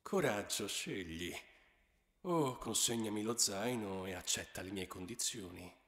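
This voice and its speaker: A man voicing a scornful, menacing male god of the underworld in slow, low tones, with a heavy reverb effect on his voice. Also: expressive, fairly bright tone. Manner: slow, low, threatening delivery. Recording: cavernous echoing reverb effect.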